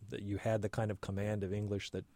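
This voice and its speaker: A man interviewing someone in unscripted talk, phrasing his questions in a tentative, halting way and often rephrasing as he goes. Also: lowish voice, level tone, a little mellow, dry recording.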